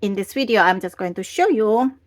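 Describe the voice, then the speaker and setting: A woman's voice: medium pitch, animated, brisk. A woman hosting a craft video, speaking casually at her tabletop and filmed from an overhead camera. She is getting over a cold and has a lingering cough. She talks in a chatty, rambling way with the odd restart. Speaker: craft channel host. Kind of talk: casual craft tutorial talk.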